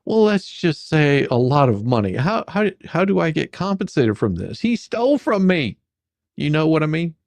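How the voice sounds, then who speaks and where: A performer doing a comedic dramatic reading as a rambling, halting, faux-folksy lawyer questioning a witness in a deposition. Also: mid-range voice, sing-song, darkish tone, no echo. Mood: mock sarcastic. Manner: halting, repetitive, mock-sarcastic, stumbling over questions.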